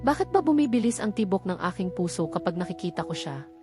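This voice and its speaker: A Filipino woman voicing a guarded young woman in a romance audiobook, soft and reserved in manner. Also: on the low side, expressive, fast talker, lightly acted. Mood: wondering, confused. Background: background music.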